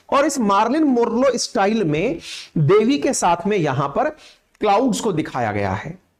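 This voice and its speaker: Indian man explaining news, lively intonation. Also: sits high. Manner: didactic, pausing to check with "theek hai". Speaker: current affairs teacher and presenter. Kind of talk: explanatory current affairs lesson.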